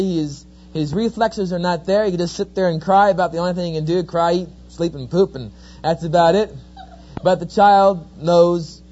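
A middle-aged man with a New Jersey accent, giving a sermon-style talk full of folksy, joking stories. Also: high voice. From New Jersey, USA. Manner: folksy, joking, lively storyteller. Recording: dull lo-fi recording.

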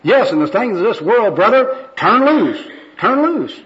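A kindly old evangelist, put on by a man reading a skit, exhorts in pompous, genteel church jargon. He is fervent and high in pitch, heard on a dull, lo-fi recording. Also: very animated, a little slow, fairly bright tone, heavily acted. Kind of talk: amateur skit read aloud.

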